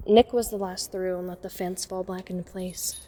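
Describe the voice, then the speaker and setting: A woman's voice: lowish voice, little pitch movement, moderate pace, warm tone, close to an everyday voice. A woman playing a watchful young woman who narrates a night break-in in a scripted audio drama. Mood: measured, suspenseful. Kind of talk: scripted first-person narration.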